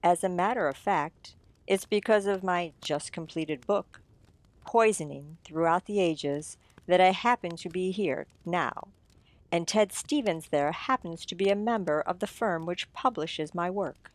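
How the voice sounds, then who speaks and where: Woman acting a low-voiced, theatrical mystery writer. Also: a little slow, no room sound, natural voice. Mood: suave, teasing. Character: suave, theatrical mystery writer unveiling a murderer. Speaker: audio drama actor. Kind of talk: old-time radio mystery narration.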